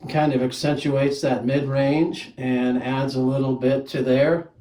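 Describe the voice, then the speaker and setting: A man's voice: mid-range voice, level tone, a little slow, mellow, reverberant, far from the mic, low-quality recording. A man casually reviewing a microphone, talking plainly and counting off mic tests. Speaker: gear reviewer. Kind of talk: casual product demo with mic checks.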